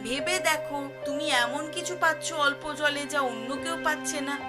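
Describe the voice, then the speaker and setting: A woman's voice: sits high, moderate pace, music in the background, lightly acted. A woman playing a practical fisherman's wife in a cartoon, reassuring and persuasive. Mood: encouraging. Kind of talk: cartoon dialogue acting.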